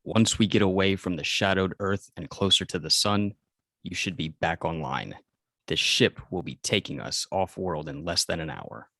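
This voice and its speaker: Man as a stoic robot protector, low voice, formal, measured, unyielding, dry close sound, script read. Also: creaky, sharp tone, dry recording, full character voice. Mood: grave.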